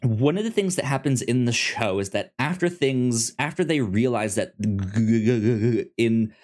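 Halting man chatting on a podcast. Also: mid-range voice, animated, darkish tone. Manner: halting, stammering over words. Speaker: podcast co-host. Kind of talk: casual TV review chat.

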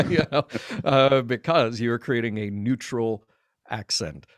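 A man's voice: mid-range voice, slow, no echo. A man from Alabama with an American accent, talking thoughtfully and discursively in a casual podcast discussion. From Birmingham, Alabama, United States. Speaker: podcast guest.

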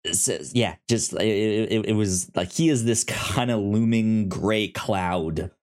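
A man co-hosting in a casual chat, speaking haltingly with stammered, repeated word starts. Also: fairly low pitch, darkish tone. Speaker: podcast co-host discussing films.

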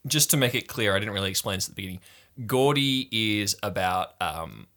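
A man's voice: on the low side, lively intonation, a little fast, sharp tone. A man working as game master, improvising narration for a tabletop game in a wry, playful storytelling manner.

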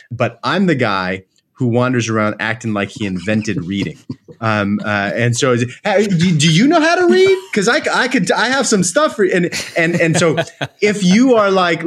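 A man chatting casually as an interview guest, self-deprecating and enthusiastic, often restarting his sentences as he tells personal stories. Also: on the high side. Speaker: podcast guest, company co-founder. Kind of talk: casual chat, personal storytelling.

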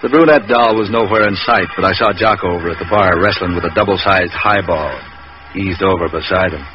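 An actor playing a tough, cynical private eye in a 1940s radio drama, terse, sardonic and wisecracking. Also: male voice, deep voice, brisk, over music, natural voice. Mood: wry, weary. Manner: terse, sardonic, hard-boiled. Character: tough, cynical, wisecracking male hired investigator. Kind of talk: 1940s hard-boiled radio acting and narration. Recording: muffled, noisy old radio recording.

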